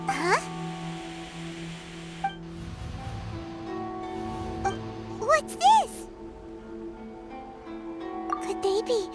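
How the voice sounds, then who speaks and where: A woman's voice: very high voice, exaggerated voice. A woman voicing a tiny, chirpy and curious girl companion in a video game, with bubbly, childlike chatter. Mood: puzzled.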